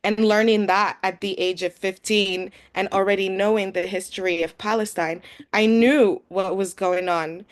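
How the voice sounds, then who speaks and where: Young woman from morocco talking on a video call, earnest, lots of ums and you knows, middle pitch. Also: fairly even intonation, normal speed, hardly any pauses. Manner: earnest, loose, with many fillers. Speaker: guest speaker. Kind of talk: webinar talk. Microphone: computer mic on a video call.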